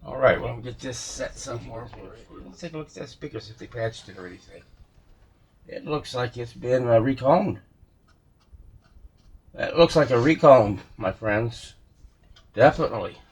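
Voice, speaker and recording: A man giving casual, unscripted commentary as he works on a vintage radio, musing aloud in a halting way to himself and his viewers. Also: medium pitch, husky. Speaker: vintage radio restorer showing a repair.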